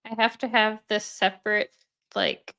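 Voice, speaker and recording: A woman's voice: mid-range voice, big pitch swings, normal speed, bright, forceful tone. A woman hosting a casual chat about hobbies. She talks in a rambling way, with many fillers, and sounds a bit congested. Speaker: host. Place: at home, sitting in bed.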